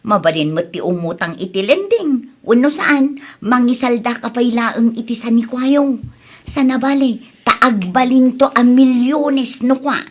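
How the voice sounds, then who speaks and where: A woman's voice: on the high side, measured pace. A woman playing a pushy, boastful neighbour who coaxes a friend in an Ilocano radio comedy drama, with a Filipino Ilocano accent. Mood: coaxing, boastful.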